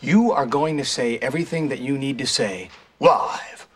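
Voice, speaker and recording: An actor playing an energetic boss who makes showy announcements, in a 1990s sitcom. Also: male voice, medium pitch, moderate pace, husky, natural voice. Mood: excited.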